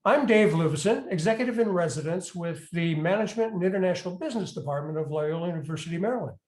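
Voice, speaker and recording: A man hosting a university interview series, asking questions in a measured, polite way with some fillers. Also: high-pitched, animated, a little mellow, roomy sound. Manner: measured, polite, with "uh" and "you know". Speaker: university executive in residence, interviewer.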